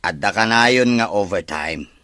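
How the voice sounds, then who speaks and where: A man playing an evasive, sweet-talking unfaithful husband with a husky voice in a melodrama. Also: on the low side, expressive, measured pace, sharp tone, dry recording. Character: smooth-talking cheating husband, evasive office worker. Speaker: actor. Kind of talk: melodrama dialogue.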